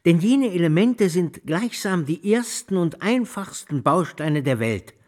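An actor playing an erudite music lecturer in a radio drama, speaking in ornate, solemn, declamatory phrases with devout fervour. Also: male voice, sits high, expressive, a little slow, a little mellow. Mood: solemn, exalted.

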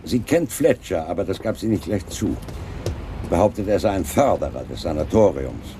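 An actor playing an unflappable, dry-humoured chief inspector in a German radio crime drama, speaking in a brisk, matter-of-fact way. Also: male voice, low voice, animated, warm tone, a little hiss or hum. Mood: businesslike.

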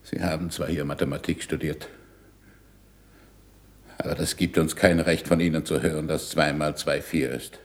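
An actor in a 1960s German radio play, playing a stern, authoritative older scientist who teaches in an emphatic, commanding way. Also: male voice, a bass voice, steady tone, normal speed, long pauses. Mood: stern, cutting.

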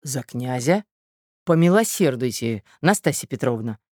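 An excitable young suitor from a storybook voiced by a female narrator. He sounds earnest and naive, with lively, expressive phrasing and a polite, flustered manner. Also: rumbling low, measured pace, no room sound, clean studio sound, full character voice. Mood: bewildered.

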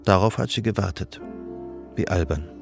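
A man acting as a detached, observant storyteller, narrating literary radio drama in a measured way. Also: low-pitched, creaky, over music. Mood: wistful. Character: detached, observant male storyteller of a woman's life.

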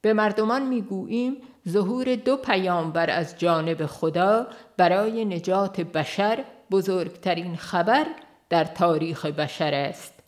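A woman reads a religious book as scripted audiobook narration, in a measured, formal, literary manner. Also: on the low side. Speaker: audiobook reader.

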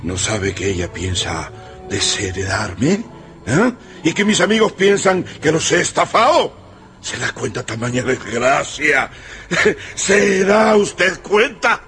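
High-voiced, desperate, blustering general. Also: male voice, big pitch swings, takes it slowly, fairly bright tone, soft background music, full character voice. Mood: indignant, desperate. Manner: agitated, stammering, repeating himself. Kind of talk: Spanish-language radio drama acting.

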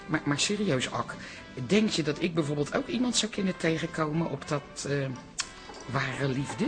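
An actor playing an excitable, gossipy character in a Dutch radio comedy, gushing and stammering. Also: male voice, a little high, moderate pace, music in the background, close to an everyday voice. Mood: giggly, curious.

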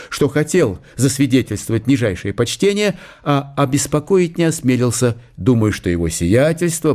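A man reading literary narration for an audio drama in a measured, storytelling manner. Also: mid-range voice, dark tone.

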